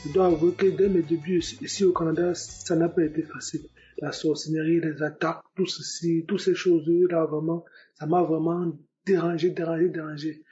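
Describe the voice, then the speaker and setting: A young man with a high, soft-toned voice tells his personal testimony, repeating words for emphasis as he goes. The sound is a bit dull and lo-fi. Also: level tone, a little fast, faint music behind. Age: young adult. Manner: repetitive, emphatic storytelling. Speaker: testimony giver. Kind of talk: spoken religious testimony.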